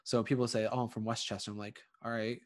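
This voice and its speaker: A man from Connecticut in casual conversation, his voice sitting at an ordinary middle pitch. He rambles, piling up fillers and restarting his sentences as he talks. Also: level tone, fast. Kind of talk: casual chat.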